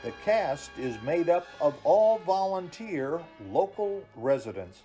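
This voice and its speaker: Male theater announcer, slow scripted delivery, fairly high but mellow, soft music behind. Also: sing-song.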